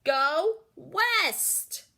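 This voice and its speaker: Woman voicing a timid, squeaky little boy rodent. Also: lively intonation, very slow, gravelly, pushed and bright, exaggerated voice. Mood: bolder, calling out. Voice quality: says W in place of R. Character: timid young male rodent with speech impediment, squeaky.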